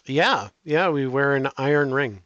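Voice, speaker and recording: A middle-aged man answering interview questions in a relaxed, halting way, with many restarts and fillers. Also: medium pitch, no echo, highs cut off. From Canada. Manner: hesitant, frequent restarts and fillers. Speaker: guest.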